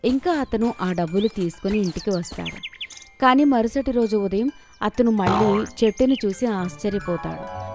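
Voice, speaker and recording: A woman narrates a scripted tale for children. Her pitch is medium for a woman. Soft music with light chimes plays behind her. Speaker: storyteller.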